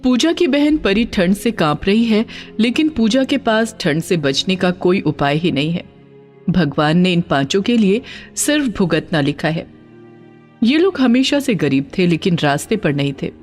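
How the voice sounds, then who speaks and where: Female story narrator: expressive, emphatic, very lively intonation, faint music under dramatic scripted tale. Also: mid-range voice, moderate pace.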